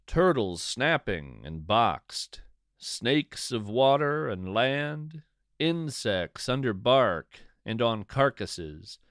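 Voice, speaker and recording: A man narrating scripted stories and poetry as a podcast host, in a deliberate, literary manner. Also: fairly low pitch, fairly bright tone, no room sound, clean studio sound. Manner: deliberate, measured, literary delivery.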